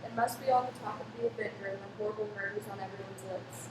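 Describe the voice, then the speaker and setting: A woman narrates a scripted drama at a quick pace. She sounds distant from the mic in an echoey room, with clear noise. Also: medium pitch, fairly even intonation.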